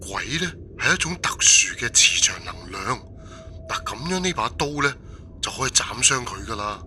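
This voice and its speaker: A man's voice: mid-range voice, sing-song, moderate pace, bright, forceful tone, a little hiss or hum. A man playing an exasperated, colloquial character on a ghost forum in an audio drama, with a Cantonese accent. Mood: insistent. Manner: colloquial, exclamatory.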